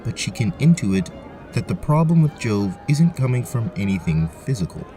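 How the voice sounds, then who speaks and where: Deep-voiced male fantasy narrator. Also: sing-song, normal speed, dark tone, faint music behind. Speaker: narrator, game master.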